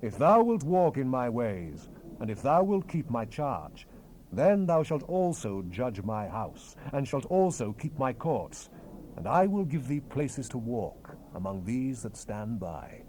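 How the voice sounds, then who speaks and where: A stern, majestic God played by a man declaims solemnly in a dramatized scripture reading. The delivery swings widely in pitch with a mellow tone, over the hiss of an old cassette. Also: big pitch swings, measured pace, low-quality recording. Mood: commanding. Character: the Lord God, commanding, majestic, stern male deity. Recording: hissy 1970s cassette rip.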